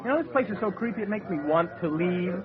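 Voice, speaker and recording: An actor playing a short, cranky, cowardly small-time crook, wisecracking and sarcastic in a radio comedy thriller. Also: male voice, high voice, expressive, quick pace, a crowd in the background. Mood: feigned bluster. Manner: sarcastic, grumbling. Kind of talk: old-time radio horror-comedy acting. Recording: thin, narrow radio sound with music.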